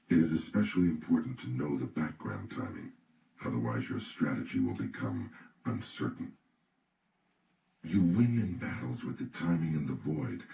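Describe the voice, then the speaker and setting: Very deep, gravelly man reading aloud. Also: dark tone. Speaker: audiobook reader. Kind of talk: scripted audiobook reading. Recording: roomy, distant mic, faint noise.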